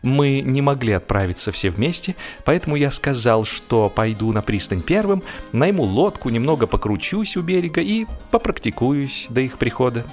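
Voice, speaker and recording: A man reads a humorous story as an audiobook narrator, in an expressive, animated storytelling manner. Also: medium pitch, normal speed, hardly any pauses, soft background music.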